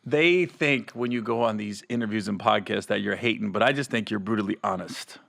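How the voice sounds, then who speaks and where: A man's voice: on the low side, a little fast, creaky, a bit bright. A man in his forties hosting a podcast, chatting casually and teasing his guest with frequent laughter. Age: 40s. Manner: laughs often, teasing banter. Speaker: podcast host. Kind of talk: casual interview chat.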